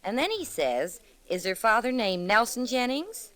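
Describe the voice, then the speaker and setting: A sassy, lively cigar-counter gal. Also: female voice, on the high side, normal speed. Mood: gossipy. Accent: American. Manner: slangy, teasing. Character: sassy, chatty New York woman selling cigars. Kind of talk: old-time radio acting.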